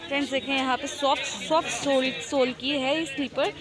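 A woman's voice: high voice, steady tone, moderate pace. A woman with a Pakistani Urdu accent vlogging a market tour, chatting to viewers and asking sellers prices. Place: busy outdoor street market. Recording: crowded outdoor market noise. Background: crowd chatter and traffic.

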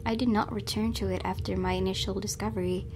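A woman hosting a gaming video, reading game text aloud and reacting in an expressive, fretful way. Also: mid-range voice, fast, background noise. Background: background game music.

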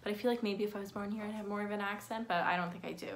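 A woman's voice: medium pitch, fairly even intonation, racing. A teenage girl talks straight into her phone camera from a small bedroom, her voice close and unpolished. She rambles from one thought to the next, leaning on fillers and dry self-deprecating jokes. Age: teens. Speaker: vlogger. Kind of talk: casual facts vlog. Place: bedroom with window blinds, indoors. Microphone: phone camera at close range.

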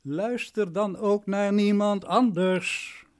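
An actor playing a self-important, domineering lawyer in a radio play, patronising and imperious. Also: male voice, high voice, takes it slowly.

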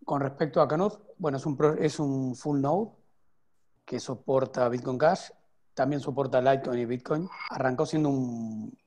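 A man in his thirties, a guest developer, explaining at length on a video call from home with many restarts and pauses. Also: mid-range voice, a little mellow. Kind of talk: casual video call interview. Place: home room with window. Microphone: earphone headset on video call.

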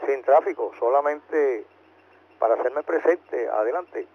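Slow-speaking man on muffled radio. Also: medium pitch, very noisy. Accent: Puerto Rican Spanish. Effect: two-way radio transmission. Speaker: amateur radio operator checking in. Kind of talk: radio check-in. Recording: muffled two-way radio transmission.

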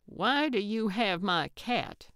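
Cranky older lady character, done by a female narrator, blunt n snappy, slow talker, really clean dry sound. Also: medium pitch, lively intonation, fairly bright tone, smooth, no room sound. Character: brusque, cranky older woman, blunt but well-meaning. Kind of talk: audiobook character acting.

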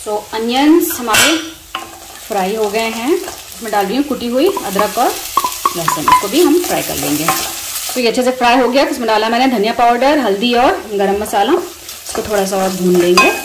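A woman's voice: fairly high pitch, expressive, moderate pace. A woman in her thirties with an Indian accent, giving a casual, conversational cooking voice-over with English words mixed in. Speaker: home cooking vlogger and host. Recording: voice-over with clear sizzling kitchen noise.

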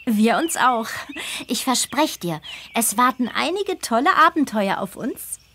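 A woman's voice: a little high, sing-song, unhurried, pushed and bright, slight background noise, heavily acted. A woman voicing a friendly, confident teenage farm girl in a children's game, upbeat and encouraging. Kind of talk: children's game dialogue acting.

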